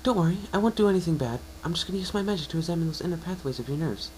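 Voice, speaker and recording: A man's voice: a little high, expressive, racing, darkish tone, slight background noise, pitched above the performer's own voice, natural voice, rough recording. A man voicing an earnest, bookish young female unicorn, polite and easily flustered, in a fan fiction reading. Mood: gentle, earnest. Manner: eager, polite, explanatory.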